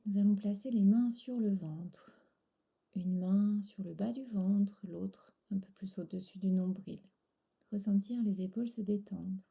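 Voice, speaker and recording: A woman guiding a relaxation meditation in a soft, breathy, soothing voice, pausing between short phrases. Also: mid-range voice. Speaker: yoga nidra instructor.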